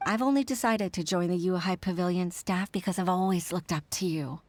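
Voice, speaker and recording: A woman's voice: on the low side, brisk, natural voice. An actress voicing a humble, middle-aged secretary and mother in a video game, modest and a little hesitant. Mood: heartfelt. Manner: modest, hesitant, with soft laughs. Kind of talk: game voice acting. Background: game soundtrack music.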